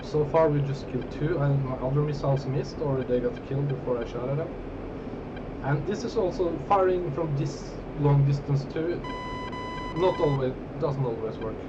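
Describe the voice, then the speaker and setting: A man from Norway with a Norwegian accent, English not his first language, thinking aloud in casual gameplay commentary with halting restarts and fillers. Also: a little high. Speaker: gaming YouTuber. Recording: dull lo-fi audio over loud jet game noise. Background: loud flight-sim engine noise.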